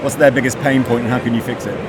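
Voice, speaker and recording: Male interviewer, talks fast, keeps restarting questions, really noisy background. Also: mid-range voice, fairly even intonation. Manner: quick, chatty, restarts his questions. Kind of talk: trade show interview. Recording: loud background noise.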